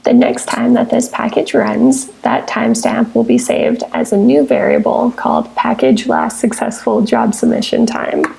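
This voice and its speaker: A woman with a somewhat high-pitched yet mellow voice walks through software steps as a tutorial presenter. Her tone is heavily creaky, and she reads in a scripted, instructional way with measured phrasing. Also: very animated, rough recording. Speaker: software tutorial presenter, technical support engineer. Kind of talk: scripted step-by-step screencast narration.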